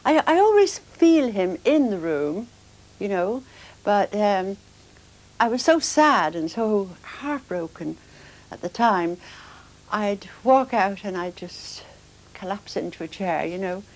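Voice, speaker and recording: An older woman speaking softly and hesitantly in a documentary interview, emotional as she remembers her son. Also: medium pitch, animated, slow, rough recording. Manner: soft, hesitant, emotional reminiscing. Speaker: interviewee, mother of the subject.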